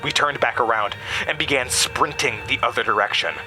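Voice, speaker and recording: A man's voice: mid-range voice, a little fast, rough recording. A man narrating a horror audio drama in the first person, with a grave, suspenseful delivery. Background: music.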